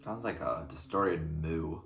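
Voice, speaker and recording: A man giving casual reaction commentary as a music reaction host, speaking in a reflective, hesitant, halting way in an echoey room. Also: extremely low pitch.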